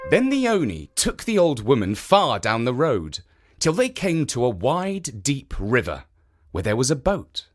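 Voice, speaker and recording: A man narrating a children's story in an expressive, theatrical storytelling manner. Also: mid-range voice, unhurried, faint music behind.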